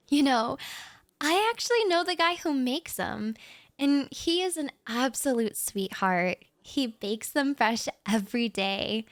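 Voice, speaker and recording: A young woman voicing a shy writer who is a regular at a café, talking softly and giggling nervously in an audio roleplay. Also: high voice, dry recording, heavily acted. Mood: chatty, gushing. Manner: soft, giggly, a little nervous and rambling.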